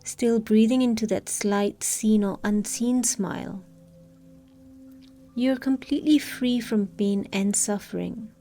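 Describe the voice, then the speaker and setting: A woman leading a scripted guided meditation in a calm, soothing, unhurried manner with long pauses. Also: on the high side, faint music behind.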